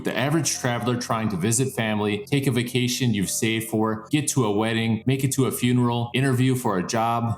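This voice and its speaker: A man with a fairly low voice narrates a scripted explainer. His delivery is emphatic and dramatic, stressing points like an urgent commentator. The sound is noticeably echoey, with soft music underneath. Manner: emphatic, dramatic, building lists for effect.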